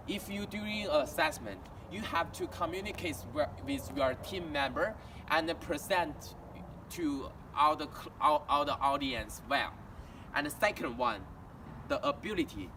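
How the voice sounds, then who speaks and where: A young man from China with a Chinese accent, whose first language is Mandarin, gives a halting walk-and-talk presentation as an international student, with frequent restarts. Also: on the high side, lively intonation. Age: young adult. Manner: halting, with frequent restarts and simple learner grammar. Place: outdoors in a town, walking near a house and street. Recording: distant outdoor recording with traffic noise.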